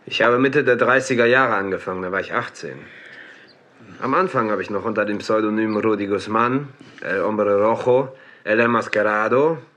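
Actor as a low-voiced, laconic masked wrestler. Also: male voice, normal speed, fairly bright tone, lightly acted. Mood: guarded. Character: laconic, guarded masked Mexican wrestler in his thirties. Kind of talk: radio drama dialogue.

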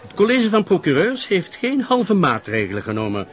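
A man's voice: high-pitched, huge pitch swings, moderate pace. A self-assured, jovial prosecutor in a TV drama, speaking with a Flemish accent. He is hearty and emphatic with a wide-swinging intonation, and the sound is a little dull. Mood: firm, self-assured. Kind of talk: naturalistic TV drama dialogue.

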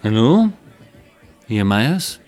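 Slow, reluctant male writer, terse. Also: lowish voice, sing-song, music in the background, exaggerated voice. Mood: guarded. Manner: terse, hesitant. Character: reluctant, withdrawn male writer who quit his job. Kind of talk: naturalistic radio drama dialogue.

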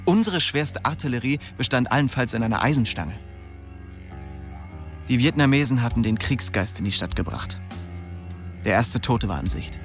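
A man's voice: mid-range voice, close to an everyday voice. An actor playing a weary, bitter young man who narrates his own crime story tersely in a radio drama. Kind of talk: scripted first-person radio drama narration.

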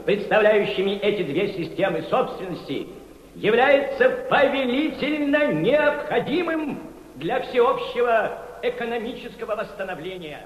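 A Soviet diplomat, a man with a very high voice, giving a measured, emphatic speech. It rings out with long reverb in a big hall, and the radio-drama recording is slightly dull. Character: Soviet diplomat delivering a formal conference speech. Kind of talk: formal oratory in a radio play.

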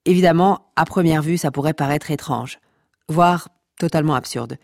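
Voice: medium pitch, normal speed, creaky, no room sound, clean studio sound, natural voice. Une personne qui joue la narration omnisciente d'une fiction audio comique, pompeuse, théâtrale, taquine avec son auditoire. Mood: pompous, impatient. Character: pompous, mock-scholarly omniscient narrator addressing listeners. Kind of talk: scripted comic fiction narration.

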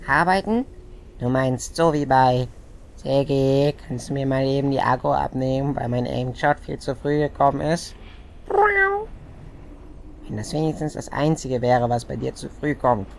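A medium-pitched animal sidekick voiced by a man nags and mocks in a whiny, sarcastic way. It is fantasy parody radio play acting with faint noise behind. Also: steady tone, unhurried, creaky, a little mellow. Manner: mocking, whiny imitation. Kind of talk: German audio drama parody acting.

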